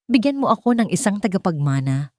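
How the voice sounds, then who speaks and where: A woman's voice: medium pitch, big pitch swings, a little fast, darkish tone, dry recording, clean studio sound, natural voice. Woman narrator as a cold, commanding mafia boss. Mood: cold, businesslike. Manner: controlled, merciless, declarative. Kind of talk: dramatic audiobook storytelling.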